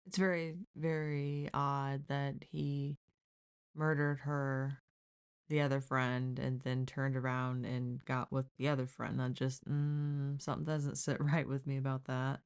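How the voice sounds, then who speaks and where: Slow-talking woman reacting out loud. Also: a bass voice, flat delivery, clean tone. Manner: blunt, exclaiming, thinking aloud. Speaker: live stream host. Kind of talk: casual reaction commentary to a crime show.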